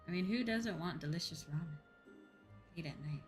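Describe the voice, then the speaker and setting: A woman's voice: fairly low pitch, normal speed. A woman livestreamer chatting casually and politely while gaming, musing to her audience. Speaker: livestreamer and host. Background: music.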